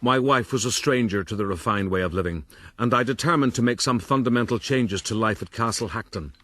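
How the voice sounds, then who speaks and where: An actor playing a vain, self-satisfied gentleman adventurer, pompously narrating his own rise in a radio drama. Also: on the low side, a little fast. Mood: haughty. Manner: pompous, formal. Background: music.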